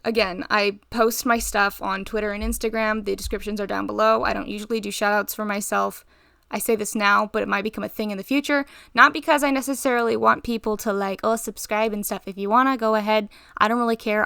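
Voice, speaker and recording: Tired, slightly raspy young woman rambling. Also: mid-range voice, steady tone, a little fast, sharp tone, no echo, clean studio sound. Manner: rambling, self-interrupting, giggly. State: tired, short on sleep. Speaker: art channel host. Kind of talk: rambling voice-over while drawing.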